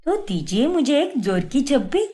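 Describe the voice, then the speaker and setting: A gentle, higher voice for a kind young wife in a cartoon family story, as a woman puts her on. She pleads softly and forgives easily. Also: big pitch swings, moderate pace. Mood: warm.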